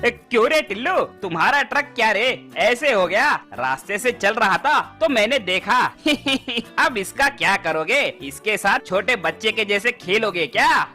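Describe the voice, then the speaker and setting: A man's voice: sits high, expressive, a little slow, few pauses, sharp tone, exaggerated voice. A man voicing a jealous, scheming, sneering friend in a cartoon story, with a mocking way of talking. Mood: gloating, mocking. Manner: gloating, mocking. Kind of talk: cartoon story character acting. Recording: music behind the voice.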